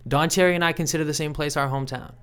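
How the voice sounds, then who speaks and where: A man giving reflective interview commentary as a podcast guest, in a measured, thoughtful and halting way. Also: fairly high pitch, fairly even intonation, a bit bright, clear, resonant tone. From Canada. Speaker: podcast guest, sports reporter.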